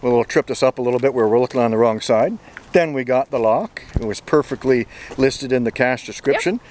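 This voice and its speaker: A chatty, upbeat man in his forties from Canada narrates his outdoor finds with a voice of middle pitch. He talks into a handheld camera at arm's length on a summer trail, with a faint outdoor hum behind him. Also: fairly quick, darkish tone. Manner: chatty, upbeat, laughs often. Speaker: geocaching vlogger. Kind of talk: casual outdoor vlog commentary.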